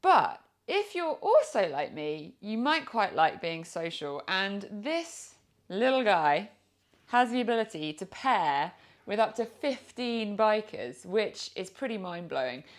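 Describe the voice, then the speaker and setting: A woman's voice: mid-range voice, sing-song, slow pace. A woman with a British accent giving an informal product review in a chatty, explanatory way. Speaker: product reviewer and motorcycle vlogger.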